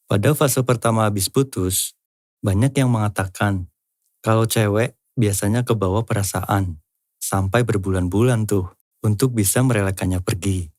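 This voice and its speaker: A man's voice: lowish voice, a little mellow, no echo, clean studio sound. A man hosting an explainer, reading scripted narration in an informal, lightly joking way with casual asides. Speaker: host of a lyric-analysis channel.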